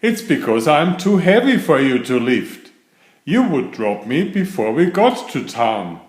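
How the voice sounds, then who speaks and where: A cheerful, literal-minded housekeeper from a children's storybook, put on by a man reading aloud. She talks in a bright, wondering, sing-song lilt, her pitch lifted well above a plain speaking voice. The room around the voice is echoey. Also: big pitch swings, unhurried. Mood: chirpy. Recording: roomy echo.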